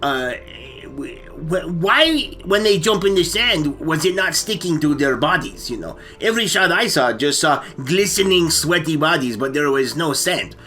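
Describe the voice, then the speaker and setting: A man's voice: a little high, animated, normal speed, sharp tone, faint music behind. A man co-hosting a comic movie chat, hesitant and stammering, often repeating his words. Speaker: comedy storytelling co-host. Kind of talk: casual comic movie discussion.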